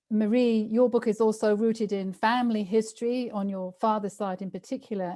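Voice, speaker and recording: Woman moderator, relaxed mellow tone, measured questions, on a video call. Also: medium pitch. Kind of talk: panel moderation.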